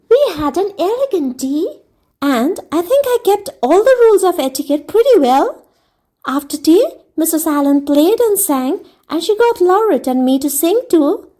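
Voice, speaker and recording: A woman's voice: very high-pitched, mellow, pitched above the performer's own voice, full character voice. A woman voicing an eager, dreamy, talkative young girl, gushing earnestly as a storybook character. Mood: thrilled, wistful. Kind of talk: audiobook character reading.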